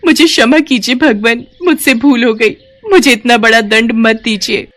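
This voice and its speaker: A woman's voice: a little high, fairly even intonation, normal speed, a bit bright, pitched above the performer's own voice, close to an everyday voice. A woman voicing a young celestial maiden in a devotional cartoon story, earnest, reverent and dramatic. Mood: pleading.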